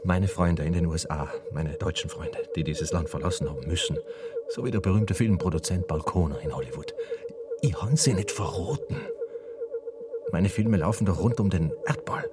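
A man's voice: low-pitched, moderate pace, close to an everyday voice. A man playing a stubborn, pleading mountain film maker and storyteller in a German radio play. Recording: distant mic, heavy background noise.

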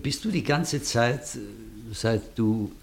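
An actor playing a gushing, fad-loving aristocratic host in a German radio play, effusive and grandiose with small hesitations. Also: male voice, medium pitch, slow. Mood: delighted.

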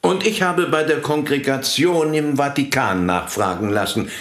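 An actor in a radio play playing a matter-of-fact churchman with a measured, formal, deliberate manner. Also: medium pitch, a bit bright, lightly acted. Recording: echoing, reverberant room.

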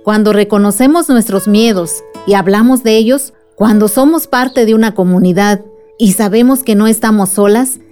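An actress playing a gentle, wise woman soothing a child with a story in a radio drama. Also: medium pitch, moderate pace, faint music behind, lightly acted. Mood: gentle reassurance. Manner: soothing, nurturing.

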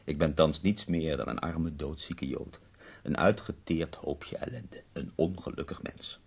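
An actor playing a dying, bedridden poet in a radio play, theatrical and wry, complaining with witty self-pity. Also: male voice, rumbling low, measured pace, slightly rough, heavily acted.

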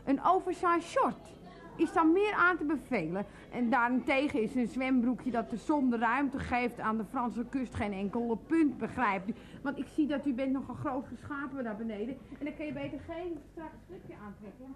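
A woman's voice: high voice, expressive, moderate pace. An actress playing an overeager, intrusive saleswoman, gushing and formally polite as she over-explains in a comic radio play. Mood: insistent, advising. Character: overeager, intrusive, chatty department-store saleswoman.

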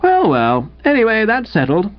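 A man acts out a smug young upper-class English gentleman for a comic audiobook, languid and patronizing. The voice sits high and swoops freely in an affected drawl, on slightly dull audio. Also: huge pitch swings, moderate pace. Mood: airily dismissive.

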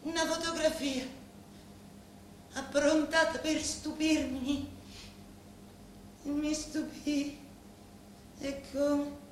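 A woman acting a grieving, vengeful daughter in a theatrical monologue, with a Sicilian accent and halting, incantatory delivery full of repeated words. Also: high-pitched, flat delivery. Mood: anguished, grieving. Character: grieving, vengeful daughter of murdered parents, confiding in a boss. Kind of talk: theatrical dramatic monologue in dialect-laced Italian. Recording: echoey, distant, faintly noisy stage recording.

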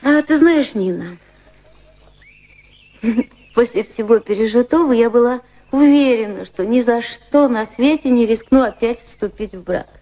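An actress playing a poised, gently teasing widow of about thirty in a Russian radio drama, speaking in a measured, reflective way. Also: female voice, a little high, animated. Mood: reflective, confiding. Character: poised thirty-year-old widow of English high society, gently teasing. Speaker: actress and narrator in radio play.

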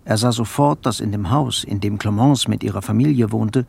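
A man giving measured, scripted literary narration for a radio drama. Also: fairly low pitch, gravelly, a little mellow, breathy edge. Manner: measured, storytelling. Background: faint music.

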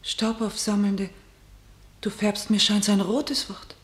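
A woman playing a fearful, submissive and tender sister in a classical tragedy radio play, speaking measured, solemn verse. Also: mid-range voice, little pitch movement, close to an everyday voice. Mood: uneasy. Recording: echoey room sound.

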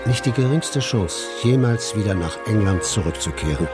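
A man's voice: on the low side, lively intonation, normal speed, loud music behind the voice, close to an everyday voice. An actor playing an expedition reporter who recounts his adventures in an articulate, earnest storytelling style for a radio drama. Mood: earnest narration. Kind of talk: scripted radio drama narration.

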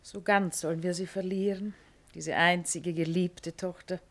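A woman's voice: lowish voice, fairly even intonation, unhurried, a bit bright. An actress playing a fond, placating middle-aged mother with an earnest manner in a classical radio drama.

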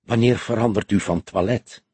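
A man voicing a husky, measured older detective. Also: on the low side, darkish tone, no room sound. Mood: hushed, pointed. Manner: measured, formal phrasing. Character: older male police detective, calm, deliberate, sceptical. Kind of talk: audiobook character dialogue.

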